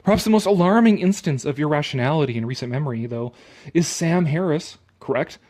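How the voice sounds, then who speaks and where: A man's voice: fairly high pitch, lively intonation, brisk, a little mellow. A man reading an article aloud with casual, sarcastic commentary, blunt and dismissive, prone to swearing. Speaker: online commentator.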